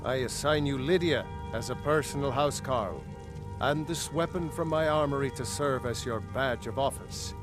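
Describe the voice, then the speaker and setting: A man's voice: medium pitch, normal speed, music in the background, lightly acted. Stately fantasy Jarl, played by a man. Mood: formal, proud. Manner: stately, formal, reflective. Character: dignified Jarl, measured ruler of a fantasy city. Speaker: voice actor in a fantasy video game. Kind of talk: fantasy game dialogue.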